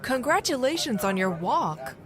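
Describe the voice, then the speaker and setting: A woman's voice: fairly high pitch, sing-song, normal speed. An actress playing a friendly, enthusiastic young woman in casual audio drama dialogue. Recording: busy crowd behind dialogue.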